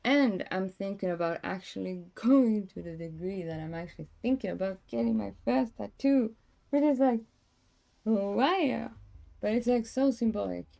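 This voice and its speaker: A young woman, hoarse today, chatting in a rambling, casual way with many fillers and restarts. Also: medium pitch, normal speed, mellow. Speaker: streamer. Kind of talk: casual chat.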